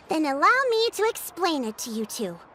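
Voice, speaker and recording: An actress playing a friendly, helpful young tribeswoman in an anime video game, speaking in a lively, conversational way. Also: very high voice, unhurried, a little hiss or hum, exaggerated voice. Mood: thoughtful.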